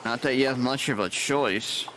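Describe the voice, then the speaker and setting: An actor playing a cynical, crude-talking hospital worker in an audio drama. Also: male voice, medium pitch, normal speed, a little hiss or hum, lightly acted. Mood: sardonic. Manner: sarcastic, crude, animated.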